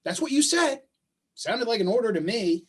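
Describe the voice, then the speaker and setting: A man acts out a worn husband in a stage drama read over a video call. His voice is husky and his delivery is sarcastic and needling, with bitterness under it. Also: very high-pitched, very animated, normal speed, exaggerated voice. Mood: bitter sarcasm. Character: bitter, sarcastic husband caring for a sick child. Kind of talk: staged play reading.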